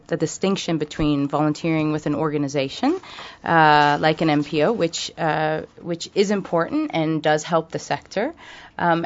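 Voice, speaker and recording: A woman's voice: low-pitched, highs cut off. An American woman speaking as a radio guest, thoughtful, with many fillers and restarts. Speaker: guest from a charity. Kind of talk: radio interview.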